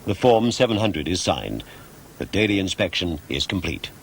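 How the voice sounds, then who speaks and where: A man's voice: sits low, big pitch swings, moderate pace, gravelly, a little hiss or hum. A man reading scripted documentary narration in a formal, precise, factual manner.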